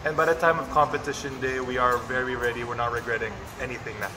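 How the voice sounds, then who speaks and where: A man's voice: mid-range voice, fairly even intonation, fast talker. Young filipino guy w/ american-ish accent, earnest interview answers, throws in "you know" a lot, noisy room hiss. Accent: American-influenced English. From Philippines. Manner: earnest, mixes in Tagalog phrases, frequent "you know". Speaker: pageant contestant.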